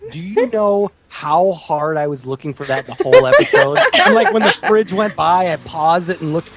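A woman's voice: lowish voice, very animated, normal speed, heavy background noise. A woman co-hosting a podcast, chatting casually about television in an exclaiming, mock-exasperated, jokey way. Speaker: podcast co-host. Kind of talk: casual TV recap chat with friends.

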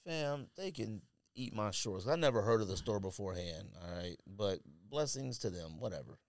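Animated, blunt man chatting casually. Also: fairly low pitch, moderate pace, no echo, studio quality. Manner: blunt, slangy, argumentative. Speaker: sneaker podcast host. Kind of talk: casual chat and debate.

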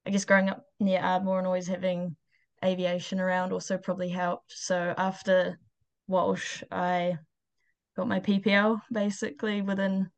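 A woman's voice: lowish voice, monotone, normal speed. A woman with a New Zealand accent, speaking informally and a little hesitantly as a guest in a casual interview. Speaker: interview guest, aircraft technician and pilot.

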